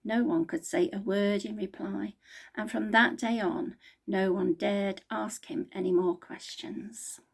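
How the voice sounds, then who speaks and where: A woman's voice: mid-range voice, steady tone, unhurried, vocal fry, husky. A woman reading the Bible aloud as a member of a church.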